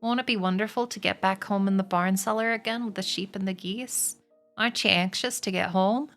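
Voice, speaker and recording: Woman doing a gentle lady spider, soft and steady, storybook style. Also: medium pitch, brisk, soft background music, close to an everyday voice. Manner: soft and composed. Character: calm, dying female spider, gentle and firm. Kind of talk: storybook read aloud.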